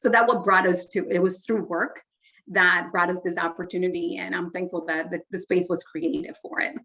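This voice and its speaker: Earnest woman from Honduras, low voice. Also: steady tone, normal speed, creaky, bright, forceful tone. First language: Spanish. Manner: earnest, reflective, frequent fillers and restarts. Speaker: guest. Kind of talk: casual interview conversation.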